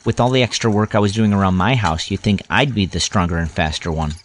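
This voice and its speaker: A man's voice: fairly low pitch, fast, close to an everyday voice. A man playing a grown-up narrator who recalls a scary boyhood adventure in earnest, dramatic first-person storytelling. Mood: reflective, suspenseful.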